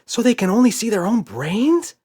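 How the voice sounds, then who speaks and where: A man voicing an excitable, worried little girl in a children's audiobook. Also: sits high, normal speed, slightly rough, no room sound, clean studio sound, pitched above the performer's own voice, heavily acted. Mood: excited.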